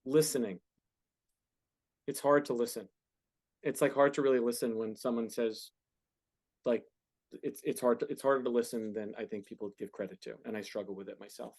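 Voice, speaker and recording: A man's voice: medium pitch, steady tone, normal speed, pauses often, a little mellow. A man in his forties answering questions informally as a guest speaker in front of a class. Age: 40s. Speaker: guest speaker, entertainment business founder.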